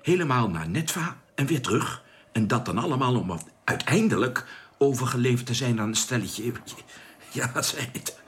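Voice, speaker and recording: A man's voice: mid-range voice, expressive, moderate pace, close to an everyday voice. A man playing a cautious, caring astronaut in a science fiction radio drama, speaking hesitantly over background noise. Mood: doubtful. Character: cautious, caring male astronaut, prone to worry.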